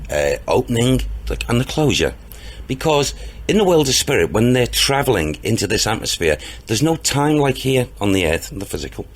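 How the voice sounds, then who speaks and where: A man with a booming, deep voice, talking earnestly as an interview guest about his work as a spiritual medium, rambling a little with restarts and hesitations. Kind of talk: casual interview talk.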